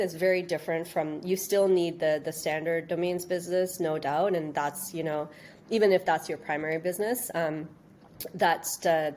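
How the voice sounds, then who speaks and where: A woman from Canada, born in India, giving informal, halting interview answers and circling back over her phrases. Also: sits low, a little mellow, slight background noise. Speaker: interview guest, registry business executive.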